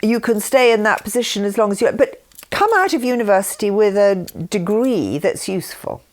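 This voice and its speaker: A woman's voice: medium pitch, expressive, fairly quick. An older woman reading written messages aloud with informal asides, speaking in an earnest way. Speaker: reader and speaker.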